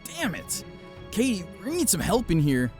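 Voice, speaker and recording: A man's voice: high voice, huge pitch swings, normal speed, soft background music. A man voicing a cocky scythe-wielding fighter in a fantasy audio drama, with dramatic delivery. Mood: frustrated, urgent.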